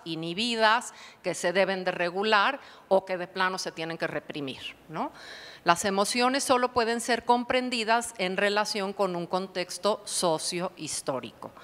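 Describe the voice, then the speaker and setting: A woman's voice: mid-range voice, a bit bright. A woman psychotherapist giving a conference lecture, explaining thoughtfully and posing rhetorical questions to the audience. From Mexico. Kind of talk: academic conference talk. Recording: long hall reverb.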